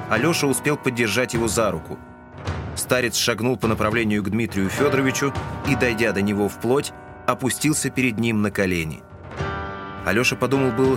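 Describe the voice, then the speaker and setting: Low-voiced male narrator over guitar music. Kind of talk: dramatic literary narration. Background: instrumental guitar music.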